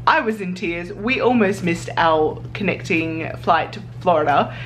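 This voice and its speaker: A woman's voice: on the low side, unhurried, faint music behind, poor audio. A young woman vlogging in a chatty, bubbly way, laughing often and restarting sentences. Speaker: vlogger and cosplay judge. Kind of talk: casual vlog chat.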